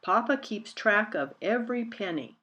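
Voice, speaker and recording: A woman's voice: medium pitch, animated, moderate pace, pushed and bright. Young girl, doubtful and plain-spoken, played by a woman reader, storybook read-aloud. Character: doubtful young girl repeating her strict father's views.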